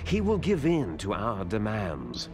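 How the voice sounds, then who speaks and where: An actor playing an arrogant, cunning terrorist boss in a video game cutscene, commanding, theatrical and contemptuous in manner. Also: male voice, fairly low pitch, wildly swooping pitch, measured pace, over loud music, full character voice. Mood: calculating, confident.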